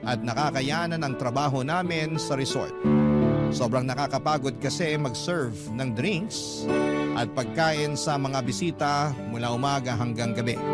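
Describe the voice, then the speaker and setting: A man with a Filipino accent reading a scripted first-person story as a radio drama narrator. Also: on the high side. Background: guitar music.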